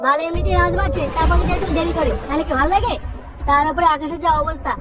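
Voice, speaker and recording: A woman voicing a bossy, irritable village man in a comedy cartoon, scolding and foul-mouthed, with a pitched-up cartoon sound. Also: very fast, recorded from a distance, over music. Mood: irritated.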